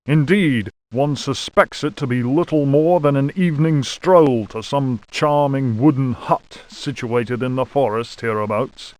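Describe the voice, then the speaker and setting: A male actor plays a pompous gentleman explorer from the 1820s in a horror game. He reads his journal with fastidious, mannered formality at a middle pitch. The recording is close and dry. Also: no room sound, lightly acted. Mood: condescending, skeptical. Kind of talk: dramatic travel journal reading in a horror game.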